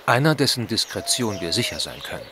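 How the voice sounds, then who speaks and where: An actor playing a proper, excitable Victorian country gentleman with a formal manner in an audio drama. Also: fairly low pitch, brisk, noisy background. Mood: indignant, uneasy. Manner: formal, dignified. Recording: loud outdoor ambience with insects.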